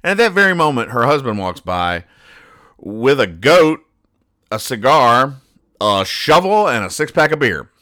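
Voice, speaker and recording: A man hosting a podcast, reading out and explaining comic strips in a deadpan, mock-serious manner. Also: medium pitch, a little slow, no room sound, studio quality. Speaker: podcast host.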